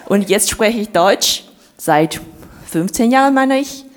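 A woman whose first language is Chinese speaks German in an encouraging, relaxed way, with fillers. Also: medium pitch, slow pace.

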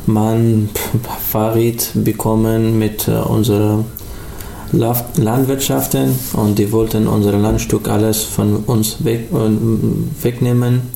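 Halting young man. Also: lowish voice, gravelly, dark tone, echoey room, a little hiss or hum. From Afghanistan. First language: not German. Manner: halting, many restarts and fillers. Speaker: studio guest. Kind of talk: radio interview.

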